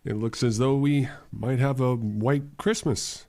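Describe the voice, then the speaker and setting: A man acting in an old-time radio drama as a mild, courteous gentleman, polite and halting in his speech. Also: medium pitch, steady tone, natural voice. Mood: awkward.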